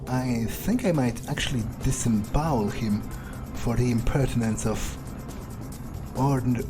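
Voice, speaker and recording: A man voices an irritable, foul-mouthed male vampire in a video game. He muses aloud in a hesitant, mid-pitched voice, commenting on his surroundings in character. Music plays clearly behind him. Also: close to an everyday voice. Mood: irritated. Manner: hesitant, musing aloud, swearing. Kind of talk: in-character roleplay commentary over gameplay.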